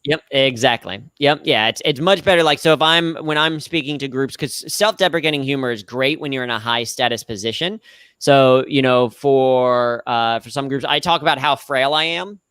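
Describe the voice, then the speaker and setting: Slightly nasal man, smooth and dry. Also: medium pitch, moderate pace, fairly bright tone, no room sound. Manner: upbeat, explanatory, self-deprecating. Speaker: guest, humor trainer. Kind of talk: conversational interview answers.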